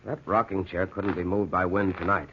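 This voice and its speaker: A man playing an uneasy young man on a ghostly night watch, hushed and tense, in old-time radio horror style. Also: low voice, little pitch movement, moderate pace, lightly acted. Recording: dull, hissy old radio recording.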